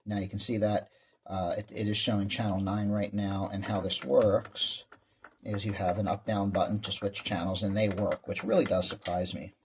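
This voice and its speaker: A man's voice: on the low side, level tone, moderate pace, a little hiss or hum. A man giving a casual, plain-spoken walkthrough as a hobbyist presenter, explaining things as he goes.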